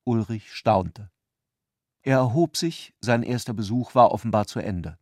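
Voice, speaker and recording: A man narrating a literary radio play from a script, with a measured, reflective and deliberate delivery. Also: lowish voice, darkish tone, no room sound, clean studio sound.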